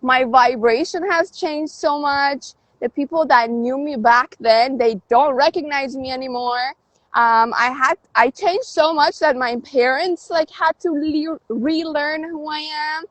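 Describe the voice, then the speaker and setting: A high-voiced woman who grew up in Canada speaks as a guest on a phone livestream. She is upbeat and motivational and swings into emphatic lifts. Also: measured pace, fairly bright tone, no echo, low-quality recording. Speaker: guest, DJ and life coach. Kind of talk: livestream interview.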